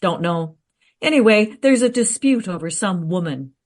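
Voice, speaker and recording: An actress playing a confident, eager researcher in a radio play, recounting history in a teasing, matter-of-fact way. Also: female voice, medium pitch, expressive, moderate pace, lightly acted. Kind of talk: radio play dialogue, storytelling.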